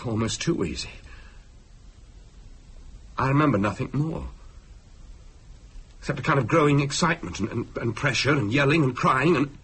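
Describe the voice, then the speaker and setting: An actor playing a sceptical ordinary husband, shaken and hesitant, speaking plainly and haltingly in a supernatural radio drama. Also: male voice, medium pitch, fairly bright tone, slight background noise. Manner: halting, stammering, plain-spoken.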